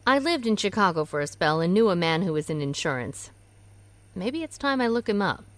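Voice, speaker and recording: A woman playing a calm, shrewd, wry woman asking questions, in an amateur radio play. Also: lowish voice, brisk, no echo.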